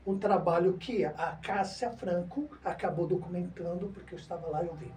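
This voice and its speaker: A male host interviews a guest with short prompts and questions. His voice is high for a man and soft-toned. He sounds set back from the mic, with faint hiss in the background. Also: normal speed. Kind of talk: casual interview. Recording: distant mic with faint noise.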